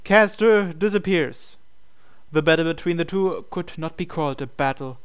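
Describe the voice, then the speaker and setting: Clear, higher-pitched man narrating a game. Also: normal speed. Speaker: host reading a game aloud. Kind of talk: dramatic narration of visual novel text.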